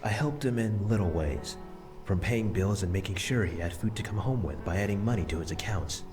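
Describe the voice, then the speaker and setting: A man voicing the spirit of an elderly grandfather, speaking softly and patiently. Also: lowish voice, a little fast, lightly acted. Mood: tender. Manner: soft and tender, explaining patiently. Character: gentle elderly grandfather's spirit, loving and regretful. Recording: music bed under voice.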